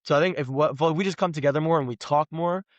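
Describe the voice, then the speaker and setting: Young american-born guy on a podcast, talks fast, says like a lot, dry close sound. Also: fairly high pitch, dry recording, lo-fi sound. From United States, Los Angeles. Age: 20s. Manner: rambling, emphatic, many "like" fillers. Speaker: podcast guest. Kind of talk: casual conversation.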